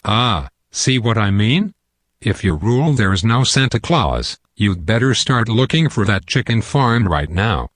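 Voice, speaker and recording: A man's voice: lowish voice, very animated, measured pace, a bit bright, no echo, studio quality, full character voice. A man playing a shrewd, blunt political adviser and old friend in a radio play. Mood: urgent, persuasive.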